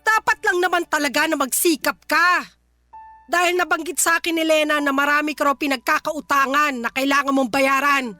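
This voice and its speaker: High, bright, scolding Filipina mother. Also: steady tone, fairly quick. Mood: scolding, stern. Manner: scolding, exasperated. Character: stern, resentful mother of a grown son. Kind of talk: Filipino radio drama acting. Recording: faint music bed.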